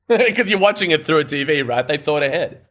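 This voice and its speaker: A man's voice: fairly high pitch, animated, normal speed, a bit bright. A young man with an Australian accent, hosting a podcast in a laid-back, swearing, joking way. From Argentina. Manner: laid-back, swears freely, laughs often. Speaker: podcast host. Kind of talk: casual chat.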